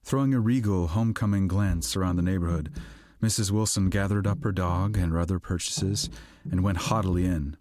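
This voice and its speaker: A man's voice: low voice, a little fast, a little mellow, no echo. A man reading literary fiction aloud as an audiobook narrator, in a scripted storytelling style. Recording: music behind the voice. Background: background music.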